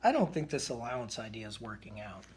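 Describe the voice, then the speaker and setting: A man's voice: medium pitch, sing-song, fast, slight background noise, voice pushed down, natural voice. A man voicing an eager young brother bear cub from a storybook, earnest and pleading. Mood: puzzled.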